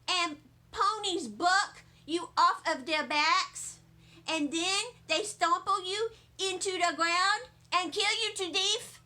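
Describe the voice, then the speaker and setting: A woman voicing an excitable, bragging little girl with childlike, emphatic chatter in a storybook read-aloud. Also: extremely high pitch, voice pushed up, full character voice. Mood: defiant.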